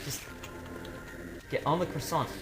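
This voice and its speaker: A man's voice: on the high side, unhurried. A man hosting a let's play with casual, jokey gaming banter in a rambling, exclamatory way. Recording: voice over game music. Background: video game music.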